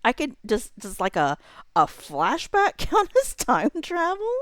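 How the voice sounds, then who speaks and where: A woman's voice: on the high side, huge pitch swings, measured pace, fairly bright tone, no room sound, studio quality. A woman livestreamer chatting casually with her viewers, thinking aloud in a laid-back, slangy, informal way. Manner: informal, laid-back, slangy, muttering to self while working. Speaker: livestreamer hosting a chatbot roleplay stream.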